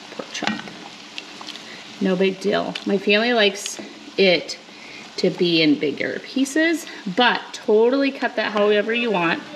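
A woman in her thirties walks through a home recipe in a relaxed, chatty way, explaining each step as she goes. Her voice sits at an ordinary middle pitch. Pots, pans and cutlery clatter clearly around her in the kitchen. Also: a little hiss or hum, low-quality recording. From Alaska. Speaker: homestead vlogger. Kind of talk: casual cook-along vlog. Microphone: handheld or mounted camera, moving around. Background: clattering pots, dishes and cutlery.

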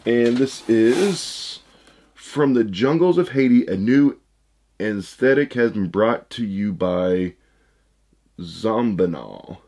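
A man in his thirties with a hoarse voice he says he is losing, chatting casually as he unboxes items at a desk indoors. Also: on the low side, lively intonation, takes it slowly, mellow. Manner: chatty, rambling, upbeat. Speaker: unboxing channel host. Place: room with shelves of shoe boxes, indoors.

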